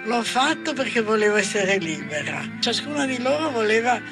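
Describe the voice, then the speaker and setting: A woman's voice: a little high, sing-song, moderate pace. An older woman commenting reflectively as a researcher, emphatic and repeating key words. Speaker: researcher who interviewed women. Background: music.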